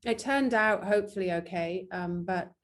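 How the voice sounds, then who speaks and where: A woman with an English accent talks openly and a little self-deprecatingly in a relaxed interview. Her voice sits at an ordinary middle pitch. She sometimes pauses and restarts as she thinks. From England. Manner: candid, self-deprecating, hesitant at times. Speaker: actress and guest. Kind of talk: casual interview chat.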